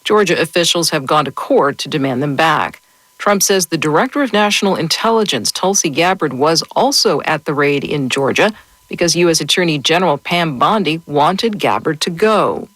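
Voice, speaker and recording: A woman with an American accent reading a scripted radio newscast in a measured, formal way. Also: low-pitched, animated, fairly bright tone, no room sound. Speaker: news anchor.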